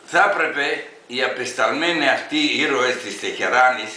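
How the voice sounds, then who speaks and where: A man in his eighties from Greece reading an open letter aloud in an emphatic, declamatory way, with deliberate phrasing. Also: medium pitch, pushed and bright, reverberant, low-quality recording. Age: 80s.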